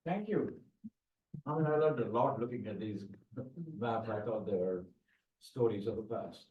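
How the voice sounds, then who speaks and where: A man's voice: lowish voice, normal speed. A man moderating a panel discussion, polite and hesitant with many uhs. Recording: roomy, distant, dull sound.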